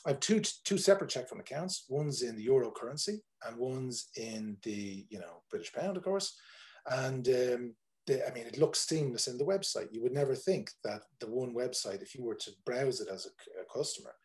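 A man's voice: medium pitch, expressive, normal speed, darkish tone. A man in his forties with an Irish accent, a tour operator talking casually on a video call, rambling with many fillers and restarts. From Ireland. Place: home room, indoors.

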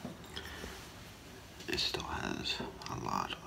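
A middle-aged man whispering in a halting, hushed ASMR role play as an appraiser, breathy and gravelly, close to the mic. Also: noisy background. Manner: slow, hushed, halting, with long pauses. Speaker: ASMR performer role-playing a gemologist. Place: small curtained room indoors.

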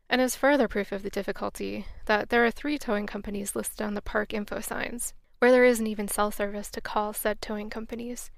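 A woman's voice: mid-range voice, creaky, dry recording. A woman hosting a podcast, reading scripted travel narration in a reflective, wry, earnest way, on a close mic. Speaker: podcast host.

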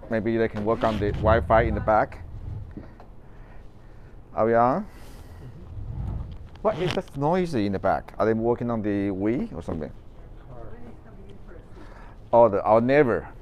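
A man's voice: on the low side, slow, pauses often, a little mellow, slight background noise. A middle-aged man from Taiwan with a Taiwanese accent, talking casually in a greenhouse live stream, rambling with many fillers and restarts. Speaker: orchid grower and show host. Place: large greenhouse.

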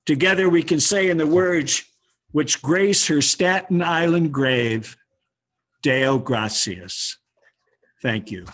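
Solemn man reading prepared remarks, higher-pitched. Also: animated, takes it slowly, a bit bright. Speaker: speaker on a webinar. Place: indoor room, video call.

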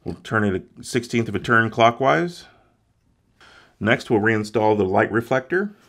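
Low-voiced man giving methodical repair steps. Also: animated, a little slow, a bit bright. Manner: methodical, plain instructional delivery. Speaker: repair instructor. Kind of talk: step-by-step repair tutorial.

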